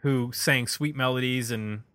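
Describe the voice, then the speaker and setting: A man hosts a music podcast in a bright, forward voice at a middle pitch. He talks casually, as in a relaxed album review between co-hosts. The sound is dry and close. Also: a little slow, smooth, no room sound.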